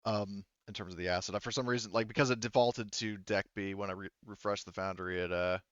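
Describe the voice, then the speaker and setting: A man running a tabletop game as game master, thinking aloud in a halting, casually profane way as he talks through rules and narration. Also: lowish voice, steady tone, a bit bright, clean tone, dry recording, dull audio.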